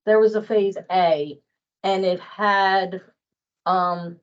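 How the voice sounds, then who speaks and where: A woman's voice: mid-range voice, fairly even intonation, slow pace. A woman talking casually in a work meeting, thinking aloud hesitantly and repeating herself as she goes. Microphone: online meeting call. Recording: dull, lo-fi online meeting audio.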